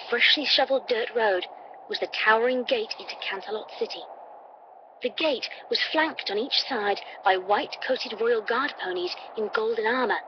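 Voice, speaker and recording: A woman reading a scripted story as an audiobook narrator. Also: fairly high pitch. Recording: dull, noisy playback through computer.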